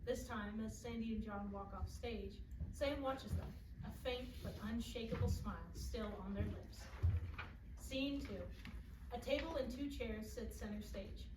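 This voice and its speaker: A woman's voice: on the high side, a little slow. A woman reading stage directions as scripted narration at a staged play reading. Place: indoor performance room, distant mic. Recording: echoey distant theater recording, noisy.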